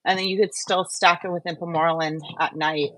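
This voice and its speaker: A woman's voice: fairly low pitch, fairly even intonation, brisk, a bit bright, no room sound, rough recording. A woman hosting a show in a casual, conversational chat style. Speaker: show host.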